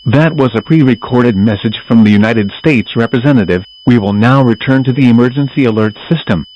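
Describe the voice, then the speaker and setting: A man's voice: medium pitch, fairly quick, natural voice. A man playing a formal, impersonal station announcer introducing emergency messages from a script in a radio drama. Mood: formal, neutral. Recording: dull lo-fi audio, faint noise.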